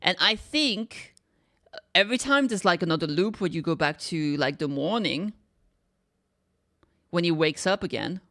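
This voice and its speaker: A woman's voice: lowish voice, animated, a little slow, pauses often, a bit bright. A woman giving casual reaction commentary, thinking aloud and often checking for agreement with little tag questions. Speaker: reaction video host.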